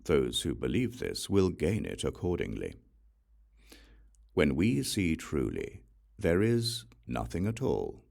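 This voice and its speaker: A man reading an audiobook of sacred verse in a solemn, deliberate recitation with measured phrasing and pauses. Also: extremely low pitch, wildly swooping pitch, gravelly, darkish tone. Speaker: audiobook reader.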